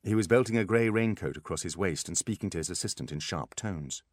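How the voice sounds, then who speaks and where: A man's voice: low-pitched, lively intonation, a little fast, dry recording, studio quality. A man reading a story aloud as scripted audiobook narration, in a dramatic style.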